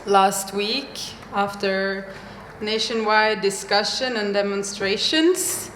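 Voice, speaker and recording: A young woman from Norway, performing spoken-word poetry on a mic at a live venue, with slow, deliberate pauses. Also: medium pitch, fairly bright tone, slight background noise. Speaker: poet. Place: live event venue, indoors. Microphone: stage microphone. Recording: echoey room, faint ambience.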